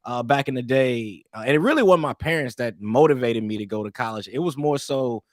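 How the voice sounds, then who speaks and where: A young man from East St. Louis, talking loose and easygoing as an interview guest. He keeps circling back, restarting sentences and dropping "man" every few lines. His voice sits at an everyday pitch and sounds close and dry, with no room around it. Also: a little fast, no echo. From East St. Louis, Illinois. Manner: relaxed, rambling, peppered with "man" and restarts. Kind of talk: casual interview storytelling.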